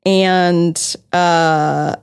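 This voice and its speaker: A woman's voice: fairly low pitch, steady tone, drawn-out words, clean tone. A woman co-hosting a podcast in casual chat, talking freely with lots of "like" and easy laughter. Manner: chatty, leans on "like", breaks into laughter. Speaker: podcast co-host.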